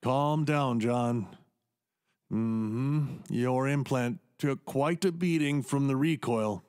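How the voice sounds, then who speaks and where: An actor playing an earnest, cautioning male surgeon in a radio play, explaining things in measured terms. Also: mid-range voice, a little mellow, smooth, lightly acted. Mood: calm, proud.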